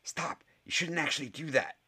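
A man's voice: mid-range voice, wildly swooping pitch, moderate pace, a bit bright, a little hiss or hum, voice pushed up. A man voicing a nervous schoolboy who blurts things out in a panicky way.

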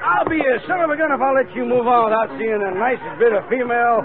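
An actor playing a rowdy, friendly, pushy cowpuncher with a folksy American Western drawl in old radio drama. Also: male voice, extremely high pitch, fast. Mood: pushy, jovial. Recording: tinny, noisy old radio with crowd din.

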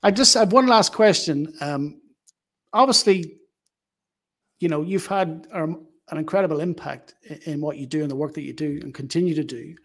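A man's voice: fairly high pitch, moderate pace. A man hosting a conversational interview, speaking earnestly and feeling his way through his phrasing. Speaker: podcast host, interviewer.

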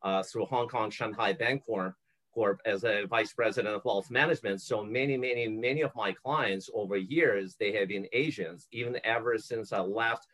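A man's voice: lowish voice, moderate pace, fairly bright tone, low-quality recording. A man from Ukraine hosting an interview podcast, asking long, rambling questions full of fillers. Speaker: host.

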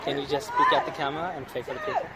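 A man's voice: on the high side, wildly swooping pitch, rapid-fire. A man hosting a casual street interview, eagerly agreeing and affirming his guest. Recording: noisy street crowd behind. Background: crowd and traffic.

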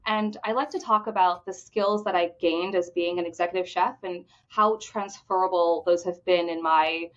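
A woman from the Bahamas speaks as a guest in an interview, fast and eager, often stumbling over repeated words. Her voice sits a little low. The audio is dull and lo-fi. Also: fairly even intonation. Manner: enthusiastic, fast talker with repeated restarts. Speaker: credit union executive, podcast guest. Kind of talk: conversational interview answers.

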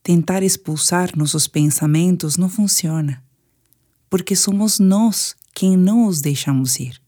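A woman's voice: fairly low pitch, unhurried, mellow. A woman with a Brazilian Portuguese accent guides a sleep meditation in a soothing, instructive manner.

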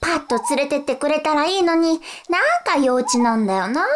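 A woman's voice: high-pitched, animated, brisk, a little hiss or hum. A woman playing a lost young girl in an audio drama, anxious and blunt, with a whiny, casual way of talking. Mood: sulky.

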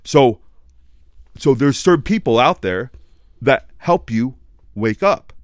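A man hosting a casual podcast chat, talking loudly and passionately, swearing and filling his speech with "like". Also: medium pitch, expressive, slow pace, dry recording, clean studio sound. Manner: loud, passionate, swears, heavy use of "like". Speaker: podcast host.

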